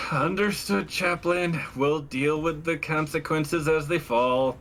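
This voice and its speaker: Man playing a curt, forceful spaceship captain. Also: a little high, slow pace, slight background noise. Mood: curt, irritated. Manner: curt, commanding, intimidating. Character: brusque, commanding spaceship captain, putting on an angry show.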